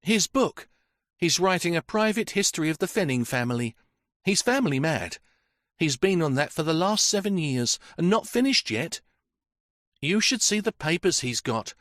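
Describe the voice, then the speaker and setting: A man's voice: fairly high pitch, big pitch swings, normal speed, a bit bright, no room sound, pitched above the performer's own voice. A talkative old housekeeper woman, as a male reader puts her on, chattering and gossiping about the household. Her voice sits well above a man's and jumps about animatedly. It is recorded dry and close. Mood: gossipy.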